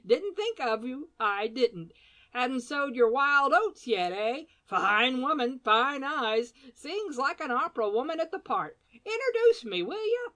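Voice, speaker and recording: A woman reading an audiobook, voicing a brash, nosy gentleman who talks in clipped, chirpy phrases. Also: high-pitched, big pitch swings, unhurried, pitched above the performer's own voice, exaggerated voice. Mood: breezy. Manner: clipped, chirpy phrases dropping their subjects. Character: brash chatty middle-aged gentleman, jaunty and nosy. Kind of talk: audiobook character voice.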